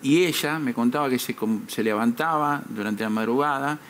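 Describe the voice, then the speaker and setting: A man speaks as a guest on a TV talk show, his voice at an ordinary pitch. He is serious and measured, pausing on fillers as he gathers his thoughts. Faint background noise comes through from the studio. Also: a bit bright. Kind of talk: earnest interview answers.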